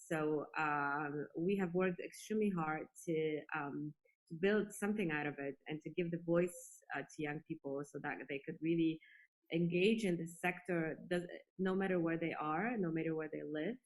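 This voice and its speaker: A woman's voice: low voice, low-quality recording. A woman from Poland giving earnest, reflective answers as a guest in a conversational interview. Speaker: interview guest, space industry executive.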